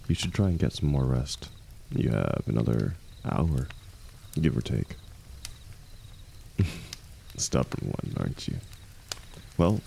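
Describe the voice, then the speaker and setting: A man playing a seasoned ex-mercenary warrior in an intimate fantasy audio drama, gruff but kindly, gently teasing and unhurried in his talk. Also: a bass voice, a little hiss or hum, exaggerated voice.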